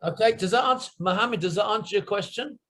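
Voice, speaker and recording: High-voiced man teaching over a video call. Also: fast, a bit bright. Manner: patient, repeats and lists points, checks understanding with "right?". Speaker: guest English teacher. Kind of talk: explaining to a class in an online lesson.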